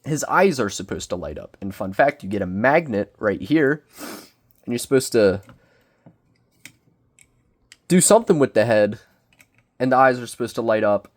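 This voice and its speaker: A young man with a cold, sniffling as he reviews a collectible figure in casual, self-deprecating, excitable rambling. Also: mid-range voice, moderate pace, pauses often. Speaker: toy reviewer. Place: small bedroom.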